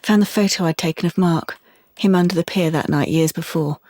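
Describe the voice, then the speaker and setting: An actress playing a wounded, defiant young woman in an audio drama, with a husky voice and a curt, guarded manner. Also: on the low side, a little fast, a little mellow, no room sound, clean studio sound, close to an everyday voice. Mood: bitter. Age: young adult. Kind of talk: audio drama monologue and dialogue.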